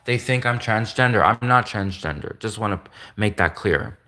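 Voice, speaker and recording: A man hosting a live stream, talking in a rambling, earnest way, often repeating himself, with a soft voice that some hear as gender-ambiguous. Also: lowish voice, expressive, moderate pace. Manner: repetitive, earnest, restates points often; greets viewers and reads comments aloud.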